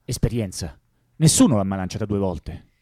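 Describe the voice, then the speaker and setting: Passionate young idealist rebel in radio drama; impulsive, earnest, muted tone, very animated intonation. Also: male voice, lowish voice, moderate pace, no room sound, studio quality. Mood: defiant. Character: idealistic, passionate young male revolutionary who loves life. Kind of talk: theatrical radio drama acting.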